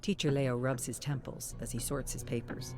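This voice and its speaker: Mid-pitched narrator reading a scripted story. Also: flat delivery, moderate pace, over music.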